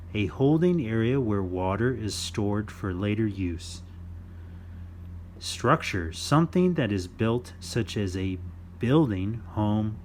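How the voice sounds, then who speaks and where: A man's voice: on the low side, darkish tone, slight background noise. A man hosting a children's story time, reading a non-fiction book aloud in an instructive, playful way for young listeners.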